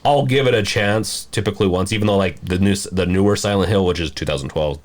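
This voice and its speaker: A man's voice: deep voice, fast. A man co-hosting a casual podcast, thinking aloud in a loose, rambling way as he gives opinions. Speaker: podcast host.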